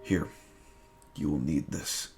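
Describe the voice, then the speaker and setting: A man playing a brooding, guilt-ridden warrior with a gravelly voice in an amateur dramatic reading. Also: takes it slowly, a little mellow, lightly acted. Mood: quiet. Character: brooding, guilt-ridden male warrior who rejects conqueror title. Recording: music playing behind the voice.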